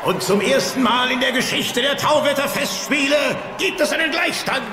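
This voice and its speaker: An actor playing a loud Viking chief who proclaims festival games in a cartoon audio drama. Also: male voice, very high voice, lively intonation, moderate pace. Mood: proclaiming. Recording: echoey hall, music and crowd behind.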